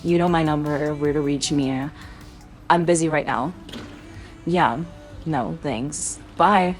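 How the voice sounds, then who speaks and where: Woman playing a low-pitched, firm, protective mother. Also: slow, faint music behind. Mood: firm, insistent. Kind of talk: amateur voiced roleplay drama.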